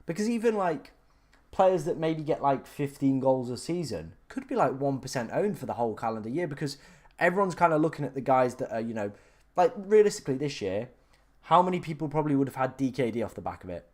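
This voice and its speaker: A young man from England talks loosely and chattily to a live audience at a steady middle pitch. He reads questions aloud and thinks out loud, full of fillers and quick restarts. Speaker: fantasy football streamer answering live chat. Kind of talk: casual live-stream chat.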